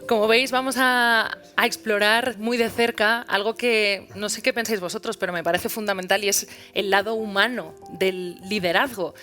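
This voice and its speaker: Woman hosting a live panel, bright punchy voice, light music under it. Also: medium pitch, steady tone, moderate pace, few pauses. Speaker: event host and moderator. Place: event hall before an audience.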